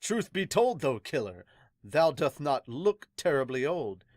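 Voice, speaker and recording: A woman's voice: low-pitched, very animated, unhurried, darkish tone, no echo, clean studio sound, heavily acted. A woman voicing a haughty male magistrate with stern, pompous, archaic speech in a manga dub. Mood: stern, scornful.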